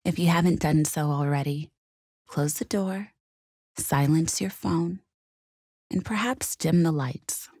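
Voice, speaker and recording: A woman leading a guided meditation in a calm, gentle, soothing and measured way. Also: low voice, breathy edge, dry recording, clean studio sound.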